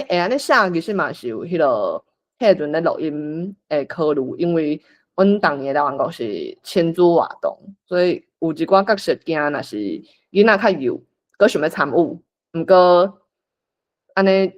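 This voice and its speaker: A Taiwanese-accented facilitator talks a group through a script reading. The pitch swings up and down in an animated way, and the delivery is informal, like someone thinking aloud while organising things. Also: normal speed, highs cut off. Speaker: workshop facilitator.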